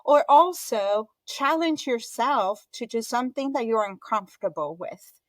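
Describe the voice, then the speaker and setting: A woman's voice: on the high side, animated, unhurried, dry recording. A woman from Colombia with a Colombian Spanish accent, a native Spanish speaker, chatting upbeat as a podcast guest. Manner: chatty and upbeat, laughs easily. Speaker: guest, event business founder. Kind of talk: podcast interview conversation.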